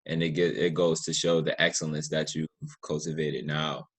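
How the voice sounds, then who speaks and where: A man's voice: extremely low pitch, little pitch movement, normal speed, husky. An American man hosting a conversational interview in an encouraging, affirming way. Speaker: podcast host, interviewer.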